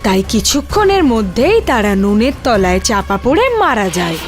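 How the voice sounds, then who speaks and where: A woman's voice: a little high, sing-song, moderate pace, faint music behind. A woman narrating a scripted cartoon fairy tale as its storyteller.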